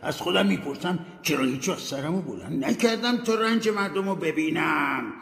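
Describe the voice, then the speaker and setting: A man's voice: a little high, lively intonation, creaky, voice pushed up. A man voicing the anguished ghost of a dead businessman, lamenting and warning in a declamatory way. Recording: echoey, reverberant room.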